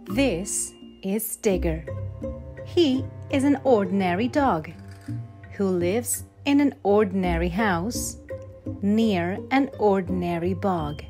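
A woman reading a rhyming children's picture book aloud with measured, deliberate phrasing. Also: medium pitch. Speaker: storybook narrator. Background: instrumental backing music.

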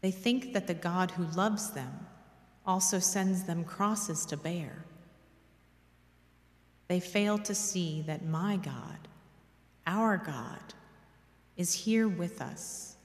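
Slow, solemn woman reading in echoey church. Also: on the low side. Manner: measured, prayerful. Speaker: reader at a church service. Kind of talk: solemn scripted devotional reading. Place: large dim church, at a lectern. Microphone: gooseneck lectern microphone. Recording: echoey church with long reverb.

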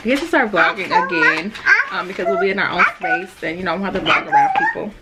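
A woman's voice: medium pitch, wildly swooping pitch, fast. A young adult woman chatting informally to viewers in a casual vlog, recorded indoors on a nearby camera. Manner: informal, addresses viewers directly. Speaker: vlogger. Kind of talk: casual vlog chat, narrating a house tour. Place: indoors, rooms of a house under renovation. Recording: clear background noise, clicks.

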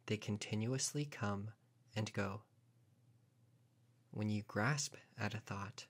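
A man guiding a meditation in a calm, unhurried, gently instructing manner. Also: lowish voice, gravelly, husky. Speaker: meditation teacher. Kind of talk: guided meditation narration.

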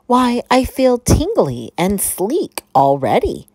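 A woman doing a gushing, excitable young girl hamster. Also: on the high side, slow pace, breathy edge, no room sound, full character voice. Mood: excited. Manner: gushing, dramatic. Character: excitable, vain young female hamster chasing glamour. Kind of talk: children's storybook character voice.

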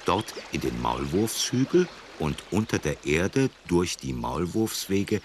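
A man's voice: sits low, sing-song, slow pace, a little hiss or hum. A man narrating a scripted children's story as its storyteller. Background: prominent music.

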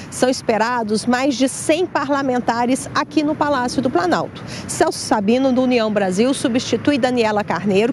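Middle-aged female field reporter, high pitch, handheld mic outdoors, faint city noise. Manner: steady informative delivery with occasional fillers. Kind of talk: live news report. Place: outdoors at a government palace plaza.

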